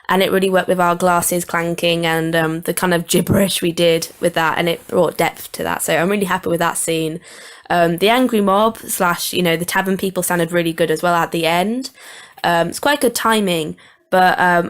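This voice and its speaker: A young woman giving informal, hesitant commentary as a student producer reviewing an audio edit. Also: fairly low pitch. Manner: hesitant, halting, thinking aloud.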